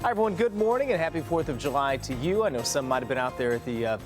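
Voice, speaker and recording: American male news anchor, higher-pitched voice. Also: animated, fast, music in the background. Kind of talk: scripted TV morning news reading.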